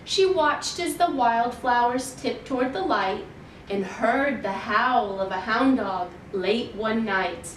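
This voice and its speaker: Female storytime reader, slow and deliberate, expressive rhymed read-aloud for kids, recorded in a roomy space with faint noise. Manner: measured, deliberate storytelling for young children. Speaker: children's library storytime reader. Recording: roomy, distant mic, faint noise and rumble.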